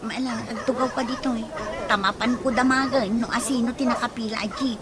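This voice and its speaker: A woman's voice: fairly high pitch, steady tone, brisk, crowd noise, natural voice. A woman playing a plain-spoken, chiding young girlfriend in a radio comedy drama, with an Ilocano accent. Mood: matter-of-fact. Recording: noisy audio with bird sounds.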